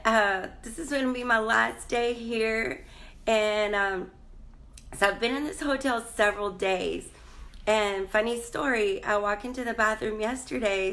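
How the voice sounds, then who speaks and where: A woman's voice: fairly high pitch, fairly bright tone. A woman life coach, sounding congested, chatting casually and rambling in a live stream from a hotel room. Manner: chatty, rambling, greets viewers by name. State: congested, slight cough.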